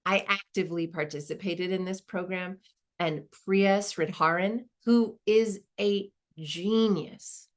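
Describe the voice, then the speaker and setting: A woman's voice: mid-range voice, slow pace, lightly acted. An actress playing a modest woman law school dean, giving a reflective, conversational monologue with small hesitations. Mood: earnest, engaged. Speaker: performer of a dramatized narrative.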